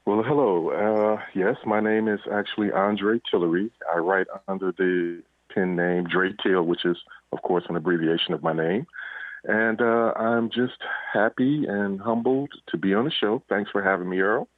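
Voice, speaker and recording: Low-voiced middle-aged man on a tinny phone line. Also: moderate pace, no room sound. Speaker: interview guest, police officer and author. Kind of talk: conversational interview answers.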